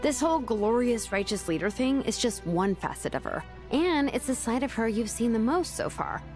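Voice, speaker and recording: Cheeky young game heroine, high voice, lively swooping intonation, teasing, casual, faint music. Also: female voice, normal speed. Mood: playful protest. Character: cheeky, casual young woman, laid-back teasing friend. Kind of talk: video game voice acting.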